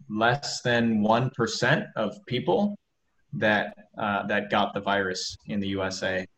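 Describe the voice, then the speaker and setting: A man from southern Thailand chatting casually on a video call, speaking slowly and often repeating words. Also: lowish voice, fairly bright tone, rough recording. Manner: slow, halting, repeats words. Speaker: participant in a video call chat. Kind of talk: casual chat.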